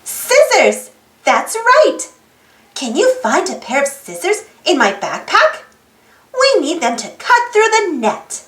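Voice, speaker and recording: A woman reading a children's picture book aloud in an animated way, putting questions to young listeners. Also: very high-pitched, slow pace, echoey room. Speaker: storybook read-aloud host.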